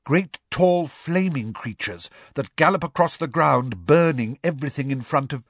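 A dreamy, eccentric teenage girl voiced by a male storybook reader. The pitch is lifted high and swoops freely, while the manner stays solemn, stubborn and matter-of-fact. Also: animated, normal speed, no room sound, clean studio sound.

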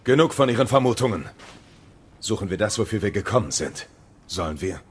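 A man playing a gruff, commanding knight with terse delivery in a dramatic video game audio play. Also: lowish voice, animated, a little fast, slight background noise, natural voice.

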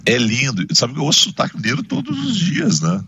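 A man co-hosting a radio show, chatting casually with quick asides and repeated words. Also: mid-range voice, wildly swooping pitch, creaky, bright, forceful tone, a little hiss or hum. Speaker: radio host. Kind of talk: casual on-air chat.

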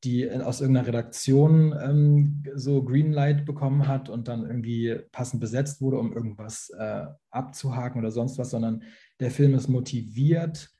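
A man's voice: medium pitch, fairly even intonation, normal speed, warm tone. A young man on a video call, a guest speaking hesitantly, with many fillers and restarts. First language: Farsi. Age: young adult. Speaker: actor, invited guest. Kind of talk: online panel talk.

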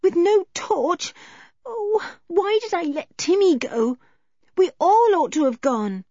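Woman playing high-pitched, headstrong anxious tomboy. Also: lively intonation, slow, warm tone, no echo, dull audio, exaggerated voice. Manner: impetuous, insistent.

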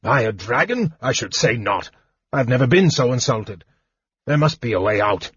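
A man's voice: mid-range voice, expressive, normal speed, a bit bright, slightly rough, no room sound, highs cut off. A man voicing an eccentric, befuddled old wizard in a fantasy audiobook, gasping and indignant by turns. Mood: befuddled indignation. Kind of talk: dramatized audiobook fantasy narration.

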